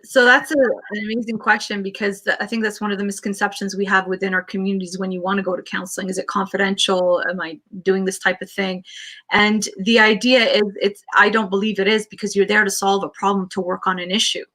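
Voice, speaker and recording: A Canadian woman counselor speaks with a bright, forward tone. She tells her story earnestly and quickly, often restarting her sentences as she goes. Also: mid-range voice, little pitch movement. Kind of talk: interview answers, personal storytelling.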